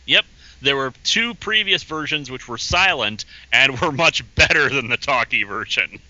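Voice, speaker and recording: A man's voice: mid-range voice, lively intonation, moderate pace, bright, forceful tone, no echo. A man talking as a podcast guest in a rambling, casual way, chuckling between thoughts. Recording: dull lo-fi podcast audio.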